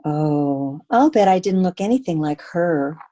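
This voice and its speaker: Actress as sophisticated coy girlfriend, jealous, lively swooping pitch, unhurried delivery, radio drama. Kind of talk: old-time radio drama acting. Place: home, remote video call recording.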